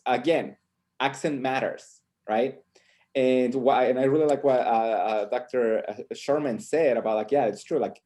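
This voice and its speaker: A man with a voice at an ordinary pitch, speaking as a panelist on a video call. He talks in a reflective way and often tags his points with "right?". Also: dark tone. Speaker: professor on a panel.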